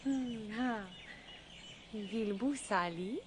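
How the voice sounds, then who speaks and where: An actress playing a tiny, affectionate, childlike woman of the future in a radio drama, speaking in simple exclamations and halting words. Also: fairly high pitch, background noise. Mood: delighted, shy.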